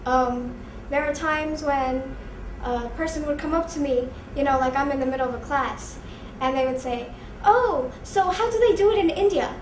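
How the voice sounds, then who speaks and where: High-voiced young woman, chatty. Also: normal speed, roomy sound, a little hiss or hum, low-quality recording. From New York, USA. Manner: chatty, quoting others in raised voices. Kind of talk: casual personal account.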